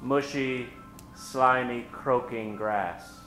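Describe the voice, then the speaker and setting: A man's voice: mid-range voice, steady tone, very slow, a bit bright, echoey room. A man narrating an old-time radio story in a theatrical, suspenseful way. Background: music.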